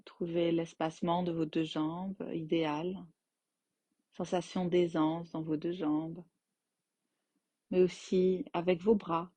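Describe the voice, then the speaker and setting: Very slow, soft-edged woman guiding meditation. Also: on the low side, dry recording. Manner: gentle, unhurried phrasing with long pauses. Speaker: yoga nidra teacher guiding relaxation. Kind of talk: guided meditation, slow soothing instruction.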